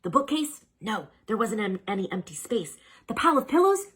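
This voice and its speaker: A woman reading a children's storybook aloud as a storyteller, dramatic and expressive, with chatty asides to the listeners. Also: a little high, a little fast, breathy edge.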